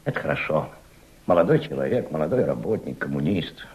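An actor in a Soviet radio drama playing a thoughtful, courteous senior diplomat who speaks musingly, with pauses. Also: male voice, a bass voice, sing-song, a little mellow. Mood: warm, welcoming. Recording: dull, band-limited old radio recording.

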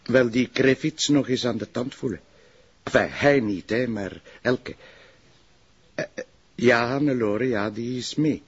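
A man's voice: mid-range voice, a little slow, breathy edge. A man with a Flemish accent playing a dutiful, mild, slightly fussy police sergeant in naturalistic TV drama dialogue. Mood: harried. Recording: dull lo-fi TV soundtrack.

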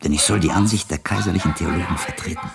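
An actor playing a learned, shrewd monk in a radio play, explaining things in a measured, gravelly voice. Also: male voice, low-pitched, music in the background. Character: learned, shrewd monk, calm mentor explaining church politics.

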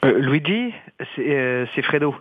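A man's voice: medium pitch, expressive, a little slow, dry recording. An actor playing a panicky, guilty young man, stammering apologetically over a phone in a podcast drama. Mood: scared, pleading. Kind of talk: podcast fiction acting. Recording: dull phone call.

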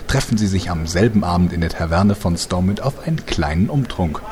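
A man's voice: sits low, lively intonation, a little fast, a little mellow, slight background noise. A man playing the solemn, announcer-like storyteller of a German fantasy parody audio drama, reading scripted narration. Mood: welcoming, grand. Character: grand deep male storyteller introducing heroic adventures.

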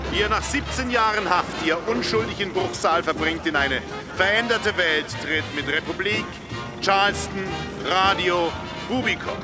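An actor playing a showy 1920s variety show barker, making a declamatory, promotional announcement in a radio play. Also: male voice, high-pitched. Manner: declamatory, addressing the public.

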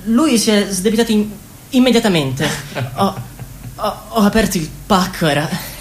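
A woman's voice: medium pitch, normal speed, close to an everyday voice. A woman playing an anxious, self-effacing male political expert in a radio drama, hesitant and stammering. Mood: flustered. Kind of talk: theatrical radio drama monologue. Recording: echoey room with background noise.

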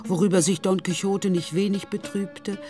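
A woman's voice: medium pitch, fairly even intonation, moderate pace, music in the background. A woman reading scripted storybook narration as the narrator of a radio drama.

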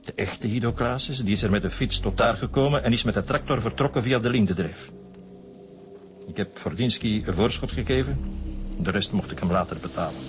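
An actor voices an aging Flemish smuggler and killer in a radio play. His voice sits fairly low, and he explains himself in plain, colloquial, self-justifying speech. Music runs underneath. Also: fairly quick, natural voice. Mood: defensive, confessing. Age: older. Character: aging male career thief and smuggler confessing to killing. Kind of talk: radio drama dialogue.

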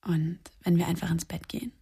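An actress playing a blunt, teasing, world-weary young woman in a radio drama, with a husky, creaky voice and a frank way of talking. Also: lowish voice, steady tone, fairly quick, mellow. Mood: intimate whisper.

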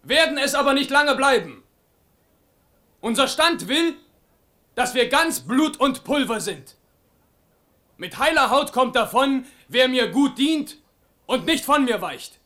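Casting: rousing commander, very high forceful voice, measured delivery, radio drama. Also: male voice, lively intonation, full character voice. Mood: fervent, rallying. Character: fervent, rallying military leader addressing soldiers. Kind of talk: German radio play acting.

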